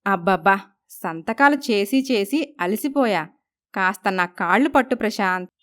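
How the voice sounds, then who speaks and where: A woman's voice: medium pitch, lively intonation, unhurried, fairly bright tone. A woman voicing an arrogant, commanding young businesswoman who scolds people in a moral story. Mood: bossy. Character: arrogant, bossy young businesswoman who bullies her husband. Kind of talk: dramatised moral story voice acting.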